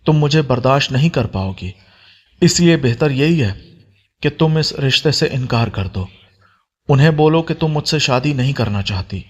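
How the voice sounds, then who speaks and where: A man's voice: medium pitch, expressive, moderate pace, husky, lo-fi sound. A man voicing an angry, threatening young man in a romance audio story, with a commanding manner.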